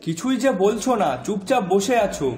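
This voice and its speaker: A man's voice: high voice, lively intonation, brisk, darkish tone. A man voicing a patient young husband in a cartoon, coaxing and conciliatory, eager to please, in a roomy echo. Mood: concerned, coaxing. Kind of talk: Bengali cartoon voice acting.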